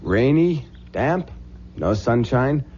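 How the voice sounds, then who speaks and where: Lively young American husband. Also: male voice, medium pitch, slow pace. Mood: teasing, breezy. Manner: teasing, breezy. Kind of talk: 1970s radio drama acting. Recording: muffled, noisy old radio recording.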